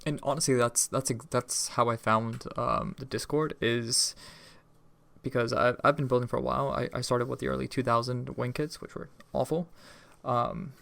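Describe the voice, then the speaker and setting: A man co-hosting a podcast, chatting casually in a slightly halting way. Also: medium pitch, fairly even intonation, gravelly, a bit bright, no echo. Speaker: podcast co-host. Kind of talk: casual hobby podcast chat.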